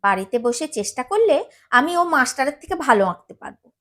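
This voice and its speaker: A woman's voice: high voice, sing-song, brisk, a bit bright. A woman acting a bold, carefree young college student in an audio story, with a brusque, dismissive, challenging manner. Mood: scornful. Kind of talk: dramatised audio story reading.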